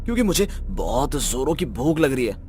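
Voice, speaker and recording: Man acting, young stranded friend, annoyed, urgent, quick, swinging intonation, storybook dialogue. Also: mid-range voice, very animated, mellow, a little hiss or hum, lightly acted. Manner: snappy, urgent.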